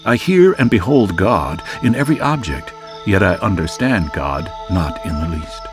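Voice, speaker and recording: A man, a voice artist, gives a dramatic, declamatory poetry reading with long pauses between phrases. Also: lowish voice. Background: music and cricket soundscape.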